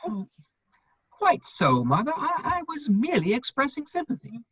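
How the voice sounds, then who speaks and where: A woman playing a witty, idle gentleman bachelor in his thirties, arch and drily polite, in a period comedy reading. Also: high-pitched, wildly swooping pitch, normal speed, breathy edge, full character voice. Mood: placating. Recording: dull, echoey, lo-fi room sound.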